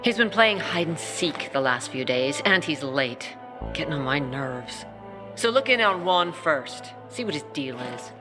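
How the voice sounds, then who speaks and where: An actor playing a tough peacekeeper commander who speaks in a gruff, curt way. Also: mid-range voice, big pitch swings, a little slow, music in the background.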